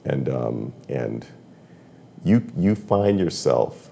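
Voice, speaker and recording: Very deep, gravelly-voiced middle-aged man. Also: animated, dark tone. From Massachusetts. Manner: halting, thoughtful, many restarts. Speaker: podcast guest, voiceover artist. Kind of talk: casual conversation.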